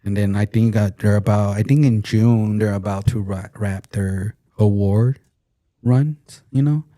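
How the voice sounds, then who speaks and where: A man in his forties with a low, dark voice co-hosts a casual podcast. He talks bluntly, jokes around, and swears freely. The sound is dry. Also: steady tone, measured pace, dry recording. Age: 40s. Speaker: podcast co-host. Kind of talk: casual chat.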